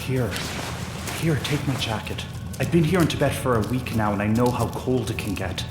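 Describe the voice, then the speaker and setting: A man's voice: mid-range voice, normal speed, noisy background, natural voice. An actor playing a concerned, helpful man in an audio drama scene. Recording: echoey cave reverb under music.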